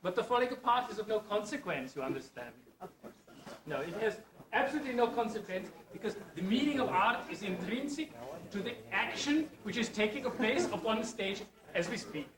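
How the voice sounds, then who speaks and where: A man's voice: high voice, expressive, a little fast. A man voicing a pompous, flustered intellectual lecturer with a put-on Indian accent, formal and mock-serious, before an audience. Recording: roomy, distant mic, crowd around.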